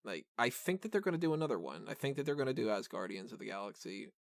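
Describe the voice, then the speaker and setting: A man's voice: mid-range voice, big pitch swings, fast, creaky, no echo, clean studio sound. A man hosting a podcast, discussing a film casually in a rambling, enthusiastic way. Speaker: podcast host reviewing a film.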